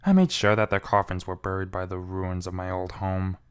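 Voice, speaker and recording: A man's voice: low voice, flat delivery, moderate pace, clean tone. A man giving a brooding, theatrical first-person reading of a fan fiction story. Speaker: amateur fan fiction reader.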